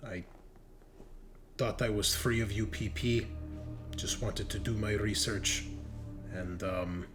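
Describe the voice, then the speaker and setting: A man voicing a weary, regretful woman who was once a spy, speaking halting, clipped English in a tabletop game. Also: on the low side, steady tone, over music. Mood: ashamed, regretful. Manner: halting, broken English without articles.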